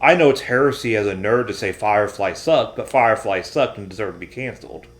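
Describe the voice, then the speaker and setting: Male podcast co-host, lowish voice, opinionated and emphatic, conversational delivery. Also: brisk. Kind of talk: casual chat about pop culture.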